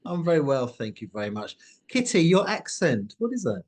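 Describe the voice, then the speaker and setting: A man's voice: on the high side, sing-song, moderate pace, a little mellow. A man hosting a short interview, asking friendly questions in an upbeat, encouraging way and often restarting his phrases. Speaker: interview host, company CEO.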